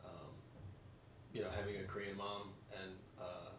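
A man's voice: deep voice, little pitch movement, normal speed. A man in casual conversation, speaking hesitantly as he searches for his words. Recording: echoey room, faint noise.

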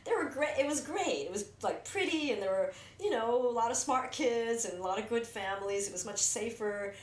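A woman's voice: fairly high pitch, expressive, brisk. An American woman from New Jersey talking candidly and reflectively about herself in casual conversation. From New Jersey, United States. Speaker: filmmaker. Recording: roomy echo, distant mic, faint noise.

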